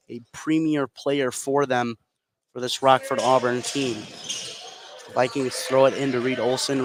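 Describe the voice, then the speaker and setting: A man with a fairly high voice calls a live basketball game play by play. Clear background noise from the gym runs under his commentary, and his delivery lifts excitedly when the action heats up. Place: indoor basketball gym at a tournament. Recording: noisy gym behind live broadcast.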